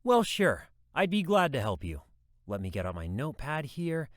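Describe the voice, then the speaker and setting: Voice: mid-range voice, sing-song, moderate pace, no echo, studio quality, close to an everyday voice. A voice actor playing a friendly, eager, dim-witted male police officer in a horror audio drama. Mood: friendly, helpful. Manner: eager, folksy, bumbling.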